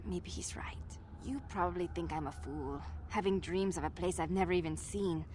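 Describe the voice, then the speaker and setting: A woman's voice: on the low side, moderate pace, darkish tone, a little hiss or hum, natural voice. A woman voicing a wistful, kindly young slum villager in a video game, speaking earnestly. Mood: wistful, tender. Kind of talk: video game dialogue acting.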